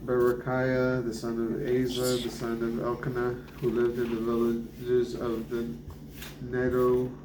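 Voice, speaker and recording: A middle-aged man reading scripture aloud to his family at a kitchen table, halting and restarting on hard names. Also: mid-range voice, completely flat delivery. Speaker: family Bible reader. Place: kitchen table at home, indoors. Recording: echoey kitchen with background noise.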